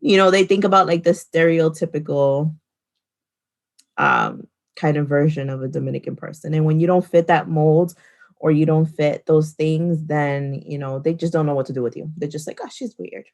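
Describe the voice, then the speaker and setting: A woman with a notably low voice speaks on a casual podcast. She tells stories in a relaxed, easygoing way and laughs between points. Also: moderate pace. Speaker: podcast co-host. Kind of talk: casual conversation.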